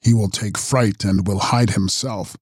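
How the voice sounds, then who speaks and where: A man voicing a mysterious, ageless male count who makes grave, measured prophecies in an audiobook. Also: on the low side, fairly even intonation, husky, dry recording, studio quality. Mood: foreboding. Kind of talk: audiobook character reading.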